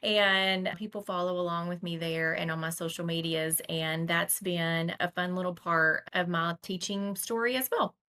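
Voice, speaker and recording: A woman in her forties with a Southern American accent from Tennessee, chatting as a guest teacher and telling lively stories. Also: lowish voice, normal speed, few pauses. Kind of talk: casual conversation.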